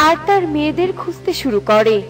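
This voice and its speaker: Woman narrator for a kids story, high pitched, scripted read, music in the background. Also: animated, brisk.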